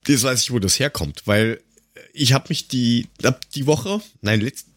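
A man from Lower Austria with an Austrian accent, chatting casually and sarcastically as a podcast co-host, given to ranting and crude jokes. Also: measured pace, fairly bright tone, no echo, studio quality. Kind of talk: casual chat.

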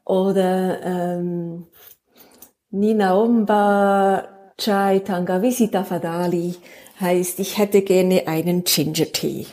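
A woman's voice: lowish voice, steady tone, takes it slowly, dark tone. A Swiss woman with a Swiss German accent, a crime author chatting as a guest in a casual podcast talk. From Switzerland.

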